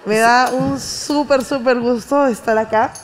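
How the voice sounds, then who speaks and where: A woman's voice: on the high side, fairly even intonation, a little slow, a little hiss or hum. A middle-aged woman in a theater audience speaking earnestly into a handheld mic. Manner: heartfelt, earnest. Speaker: audience member. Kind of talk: speaking to the hosts from the crowd.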